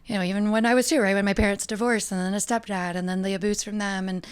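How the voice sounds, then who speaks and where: A woman's voice: medium pitch, little pitch movement, fast, smooth. A middle-aged American woman talking as a podcast guest, reflective and earnest, laughing easily. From United States. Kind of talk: casual interview talk.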